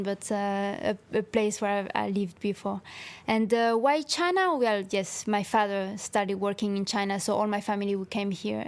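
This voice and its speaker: A woman from France and Mexico, a director of photography, answering interview questions in a casual, halting way in a hotel room. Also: medium pitch.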